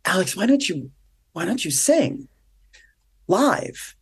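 An older woman voicing a frank, encouraging young female friend in a story she tells, with a husky voice, gently insistent. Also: mid-range voice, big pitch swings, takes it slowly, voice pushed up, full character voice. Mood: urging.

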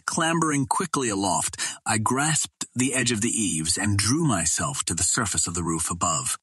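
Low-voiced man narrating an adventure novel, slightly husky. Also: expressive, normal speed, bright, forceful tone, no room sound. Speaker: audiobook narrator.

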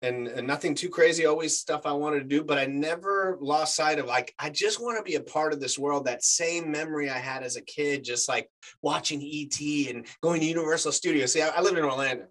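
A man's voice: on the high side, brisk. A man in his forties whose first language is Spanish, chatting casually as an actor guest and telling stories with lots of fillers. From United States. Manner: animated storyteller, many fillers. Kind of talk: casual chat.

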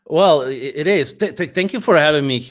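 A man's voice: fairly high pitch, fairly quick. A man in his forties, a native Spanish speaker, speaking English as a guest in a remote interview, often repeating words as he searches for them. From Spanish-speaking country. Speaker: guest, head of communications. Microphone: remote call microphone.

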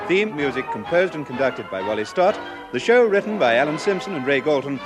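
A man's voice: a little high, big pitch swings, a little fast. A man reading closing credits as a formal announcer on vintage radio. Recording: vintage radio with crowd and music. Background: theme music and audience noise.

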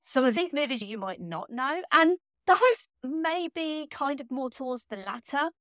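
A woman hosting a podcast alone, talking in a chatty, enthusiastic way while still slightly hoarse from laryngitis. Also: high-pitched, lively intonation, normal speed, fairly bright tone, dry recording, studio quality. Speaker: podcast host. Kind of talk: solo podcast monologue.

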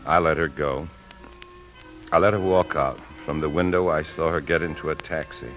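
A man's voice: extremely low pitch, steady tone, fairly bright tone, faint music behind. A man with an American accent playing a desperate, self-justifying husband in a 1950s radio drama, arguing emotionally. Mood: subdued narration. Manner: argumentative, emotional, halting under strain. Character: desperate husband who stole from his bank, self-justifying. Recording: noisy old 1950s radio broadcast.

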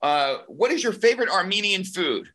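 Bright, animated male interviewer. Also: high-pitched, moderate pace. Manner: enthusiastic, effusive. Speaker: interview host. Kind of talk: casual interview.

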